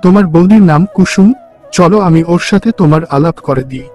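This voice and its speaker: A man plays an earnest young brother from a cartoon tale. His voice is pitched high for a male, and he speaks with devotion over background music. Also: normal speed, a little mellow. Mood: grateful, reassuring. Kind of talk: cartoon story dialogue.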